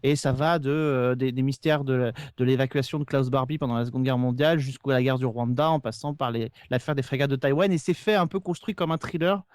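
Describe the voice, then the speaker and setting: A man's voice: mid-range voice, fairly quick, few pauses, a little mellow, clean tone, no echo, clean studio sound. A man talking casually as a podcast guest, eagerly explaining and recommending shows.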